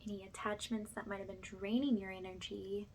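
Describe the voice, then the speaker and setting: A woman with a soft, breathy voice that often drops to a whisper, gently leading a soothing spiritual ASMR session in a reassuring way. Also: medium pitch, little pitch movement, a little fast, slight background noise. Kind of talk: ASMR, soothing guided energy cleanse.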